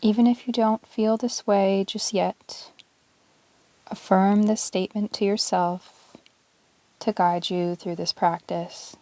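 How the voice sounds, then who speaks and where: A woman teaching yoga, guiding a relaxation meditation in a calm, measured, soothing way with long pauses between short phrases. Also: mid-range voice, no echo. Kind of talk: guided yoga nidra meditation, relaxation narration.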